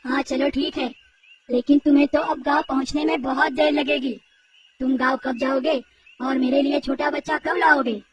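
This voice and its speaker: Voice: high-pitched, steady tone, far from the mic, over music, voice pushed up, lightly acted, rough recording. A performer voicing a greedy, child-eating old witch who cackles and gloats theatrically in a horror storybook tale. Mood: eager, impatient. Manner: gloating, theatrical, drawn-out words.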